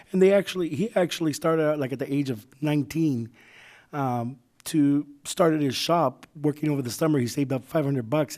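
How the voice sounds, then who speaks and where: A young man, a vice mayor, speaking loosely at a city council meeting while hoarse from the flu, with many fillers. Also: mid-range voice, warm tone. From United States. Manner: loose, conversational, many "you know" fillers. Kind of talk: public meeting remarks.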